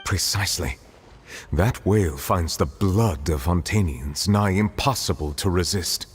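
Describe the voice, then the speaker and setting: Deep, solemn, dignified judge. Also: male voice, breathy edge, heavily acted. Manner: formal, measured, grave. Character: solemn, dignified, formal male judge, calm and grave. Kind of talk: video game voice acting. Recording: long hall reverb, faint noise.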